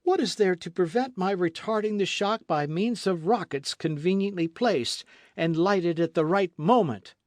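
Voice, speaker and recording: A man's voice: high-pitched, normal speed, dry recording, pitched above the performer's own voice, lightly acted. A man reading a book aloud, voicing a bold, witty adventurer in a jaunty, confident manner. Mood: breezy. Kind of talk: audiobook character reading.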